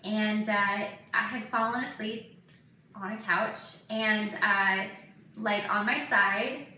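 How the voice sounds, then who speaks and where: A woman in her thirties hosting a casual podcast, telling candid personal stories in an animated way and acting out what people said. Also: mid-range voice, slow. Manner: animated, acts out quoted remarks. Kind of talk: casual chat, candid anecdotes. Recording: echoey room, distant mic, faint noise.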